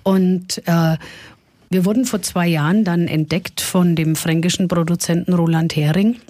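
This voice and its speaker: A husky woman with a Franconian accent talks about her music in a radio interview. Her speech is chatty and broken by fillers, and the sound is dry and close. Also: fairly low pitch, fairly even intonation, moderate pace, dark tone, no echo. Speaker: singer. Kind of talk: casual radio interview talk.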